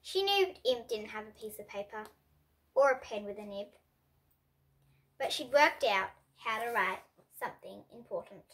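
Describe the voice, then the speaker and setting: A young reader, presented as a child, reading a children's picture book aloud with expressive delivery and lightly voiced characters. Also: female voice, fairly high pitch, normal speed, pauses often, rough recording. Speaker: storybook reader.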